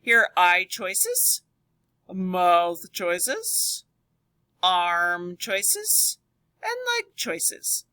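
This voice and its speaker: Expressive woman teacher, lively swooping pitch. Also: no echo. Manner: expressive, addresses children directly, deliberate. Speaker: teacher reading aloud to children. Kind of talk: children's read-aloud and lesson.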